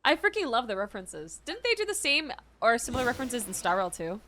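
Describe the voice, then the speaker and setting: A woman doing casual live commentary as she plays a game, excitable and slangy. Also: fairly high pitch, fast, noisy background. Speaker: streamer reacting to a game. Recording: faint game music behind.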